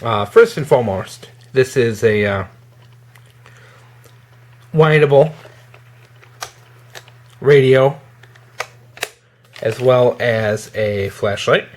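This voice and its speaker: Man, medium pitch, casual gear reviewer, informal halting delivery, hands-on product demonstration.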